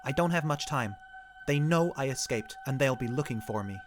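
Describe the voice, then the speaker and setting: A man's voice: mid-range voice, lively intonation, moderate pace, over music, pitched above the performer's own voice, natural voice. A man voicing a paranoid, hunted husband who gives urgent, clipped orders to his wife.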